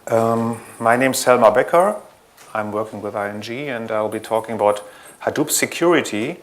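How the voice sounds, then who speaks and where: A man from Germany with a German accent, presenting a technical conference talk in an earnest, explanatory way, pausing often to correct himself. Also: mid-range voice. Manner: earnest, explanatory, with frequent ums and self-corrections. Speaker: conference speaker.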